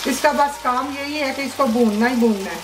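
A woman hosting a home cooking video, giving plain, unhurried step-by-step recipe instructions with short pauses between ingredients. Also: high voice, very noisy. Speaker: cooking show host. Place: home kitchen. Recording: echoey room with background music.

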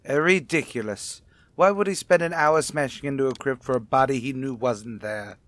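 A man playing a defensive, scornful gentleman, indignant and sarcastic, in an old-time radio mystery. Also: medium pitch, normal speed, close to an everyday voice.

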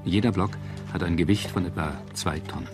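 A man reading scripted documentary narration in a measured, solemn manner. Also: sits low, over music.

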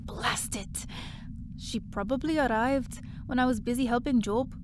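A woman's voice: fairly high pitch, measured pace, background noise, close to an everyday voice. A woman playing a breezy, blunt young part-time worker in an audio drama, chatty and offhand. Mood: excited.

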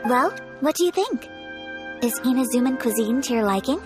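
An actress playing a shy, courteous young noblewoman in a video game, soft-spoken and formal. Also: high-pitched, animated, normal speed. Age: young adult. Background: game music.

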